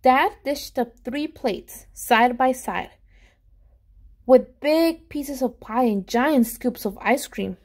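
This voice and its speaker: A woman with a fairly high voice reads a picture book aloud for children. She is expressive and animated, leaning into dramatic emphasis and speaking to her young listeners as a storyteller. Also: slow. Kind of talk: expressive storybook reading, pausing to ask children questions.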